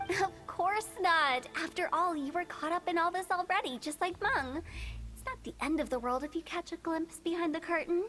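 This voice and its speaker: A woman's voice: very high-pitched, normal speed, a bit bright, soft background music. A woman playing a playful, mischievous young funeral director with a teasing, theatrical delivery in an anime-style video game. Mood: playful, ominous.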